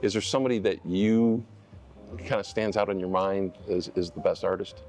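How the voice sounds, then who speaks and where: Male host of a local TV program, low voice, friendly and earnest interviewer. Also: moderate pace, darkish tone, soft background music. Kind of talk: TV interview hosting.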